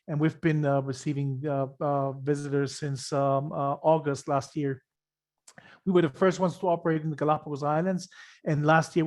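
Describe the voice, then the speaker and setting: A man from Ecuador presenting his country on a video call, halting with many uh fillers. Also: on the high side. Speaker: tour company representative. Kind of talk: webinar presentation.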